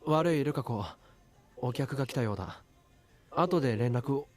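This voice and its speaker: A man playing a serious, gruff young student in a Japanese visual novel, with music behind him. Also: medium pitch, expressive, fast, natural voice. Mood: concerned.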